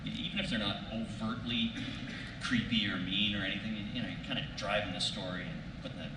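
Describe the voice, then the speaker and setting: A man talks off the cuff on a panel, feeling his way through sentences in a halting manner. He sounds far from the mic in a big reverberant hall, with clear background noise. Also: fairly low pitch, steady tone. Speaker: voice actor on a panel. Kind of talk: casual unscripted panel talk. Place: large convention panel room. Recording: distant, echoey hall, noisy background.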